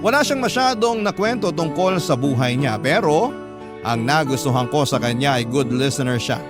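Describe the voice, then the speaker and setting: A man's voice: medium pitch, animated, moderate pace. A man reading a scripted first-person love story as narrator, in a confessional storytelling way. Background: guitar music.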